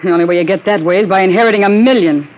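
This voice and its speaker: A woman's voice: medium pitch, racing, a little mellow, a little hiss or hum, lightly acted, rough recording. An actress playing a crook's anxious, frightened girlfriend in an old-time radio drama. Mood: fearful.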